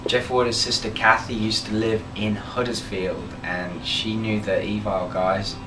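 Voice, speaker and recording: A young man from Birmingham talks casually in an interview, steady and measured with many fillers, as band leader. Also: fairly low pitch, background noise. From Birmingham, England. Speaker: band leader, guitarist and singer. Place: band label office. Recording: roomy office, distant mic, music behind.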